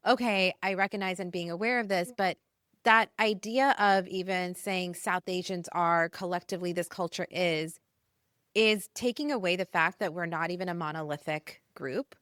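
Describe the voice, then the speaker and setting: A woman's voice: lowish voice, normal speed, a bit bright, smooth, dry recording, clean studio sound. A woman from India who grew up abroad, hosting a podcast and building her points thoughtfully and expressively. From India, raised abroad. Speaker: podcast host. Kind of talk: casual podcast conversation.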